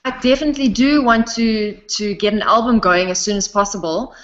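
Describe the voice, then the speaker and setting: A woman's voice: mid-range voice, brisk. A young South African woman talking casually about her singing, often laughing between sentences. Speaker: interviewee, singer. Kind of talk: casual interview chat. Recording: dull lo-fi sound.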